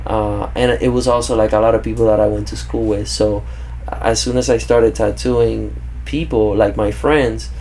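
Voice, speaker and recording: A man's voice: lowish voice, moderate pace, a little hiss or hum, rough recording. Laid-back man on a podcast. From grew up in Kentucky, born in Cuba. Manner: relaxed, says "bro" often. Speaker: podcast host and tattoo artist. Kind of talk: casual interview chat. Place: indoor studio with leather couch. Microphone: studio mic on boom arm.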